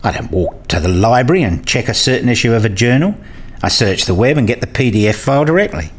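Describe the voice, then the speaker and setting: A man's voice: mid-range voice, very animated, quick pace. A man acting in a scripted radio play as an eager, opinionated character who explains and argues his ideas. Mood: enthusiastic, confident.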